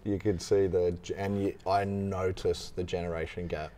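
Deep, mellow man in his forties. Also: flat delivery, moderate pace. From Australia. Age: 40s. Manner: curious, encouraging questioner. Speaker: podcast host. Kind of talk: casual interview.

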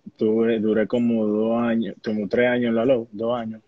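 A young man with a Dominican Spanish accent and a husky voice, casually telling stories as an interview guest on a phone video call. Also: medium pitch, level tone, normal speed, no room sound. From Dominican Republic. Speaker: interview guest, baseball player. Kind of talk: casual conversational storytelling. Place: indoor room at home. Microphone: phone on a live video call. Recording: muffled video-call audio.

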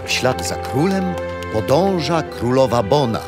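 An actor playing a kindly, patient grandfather telling stories in a children's radio play, unhurried and expressive. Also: medium pitch, over loud music. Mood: storytelling. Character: kindly grandfather storyteller, patient, teasing, wise.

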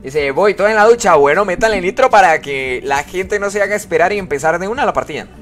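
A fairly high-voiced man running a live gaming stream, talking casually and warmly to his viewers and reading their messages out loud. Faint music plays quietly under his voice. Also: expressive, fast. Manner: chatty, greets viewers and reads chat aloud. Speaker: live streamer playing with followers.

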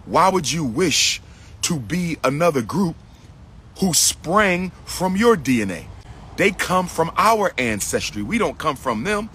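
A man's voice: fairly high pitch, a bit bright, breathy edge. A middle-aged man giving an impassioned, preachy monologue into a phone held at arm's length in an outdoor park. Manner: preachy, emphatic, repetitive, rising to declamation. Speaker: lecturer addressing followers. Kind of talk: impassioned selfie video monologue.

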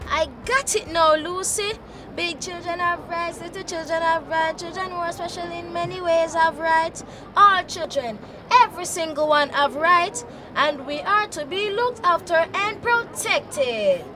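A woman's voice: extremely high pitch, a little slow. A woman playing a naive, boastful and curious young Jamaican boy with a cheeky, questioning manner in a children's puppet show. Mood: eager.

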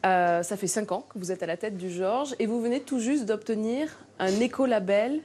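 A woman's voice: medium pitch, moderate pace, darkish tone. A woman, presenting a regional TV magazine as a reporter.

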